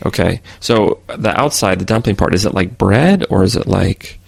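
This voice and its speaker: Guy host, gravelly husky voice, asks slow n repeats stuff back. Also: deep voice, animated, no echo. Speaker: host interviewer. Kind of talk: conversational interview questions.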